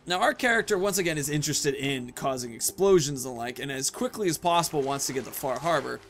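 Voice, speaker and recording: A man's voice: on the high side, animated, fast, a bit bright, slight background noise. A man in his thirties giving casual, playful gameplay commentary, rambling and talking to himself as he plays. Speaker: gaming video host.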